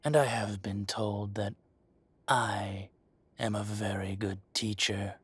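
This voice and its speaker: A man performs a possessive dragon-shifter crime lord in an intimate audio roleplay. His low voice is rough and breathy, recorded very dry. He delivers condescending threats slowly and deliberately, leaving heavy pauses. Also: steady tone, fairly bright tone, no room sound, full character voice. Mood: controlled, possessive. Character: menacing, possessive dragon-shifter mafia boss, adult male. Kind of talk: dramatic roleplay monologue addressed to the listener.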